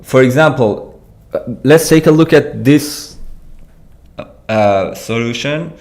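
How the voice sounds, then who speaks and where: A young man from Iran talks through programming solutions on a live stream at a desk microphone. His voice sits at an ordinary middle pitch, and his delivery is loose and hesitant, full of restarts and little laughs as he answers chat. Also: takes it slowly, darkish tone. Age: young adult. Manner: casual, hesitant, restarts sentences, laughs while reading chat.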